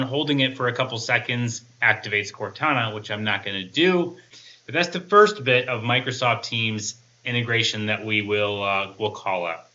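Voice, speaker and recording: A man's voice: medium pitch, sharp tone, lo-fi sound, slight background noise. A man in his thirties gives a conversational, step-by-step product demo in an explanatory manner. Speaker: tech reviewer and presenter.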